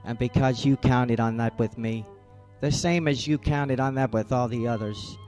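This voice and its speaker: A man's voice: medium pitch, steady tone, moderate pace, darkish tone, soft background music, lightly acted. An actor playing a cold, hard-boiled male private eye in a radio drama, blunt and clipped. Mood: brooding. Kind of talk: 1940s-style radio drama acting.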